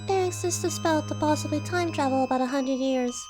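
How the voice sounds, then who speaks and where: A woman's voice: sits high, fairly quick, close to an everyday voice. A woman voicing a young-sounding magician who explains things matter-of-factly in an audio drama. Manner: matter-of-fact. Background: music.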